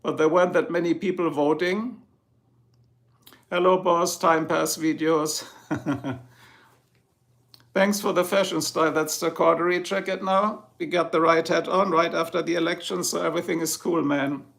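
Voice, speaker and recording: A man's voice: fairly high pitch, fairly even intonation, normal speed, vocal fry, long pauses, fairly bright tone. A middle-aged man with a German accent, chatting casually with viewers on his livestream and answering their comments. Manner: chatty, answers viewers one by one, chuckles often. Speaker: livestream host.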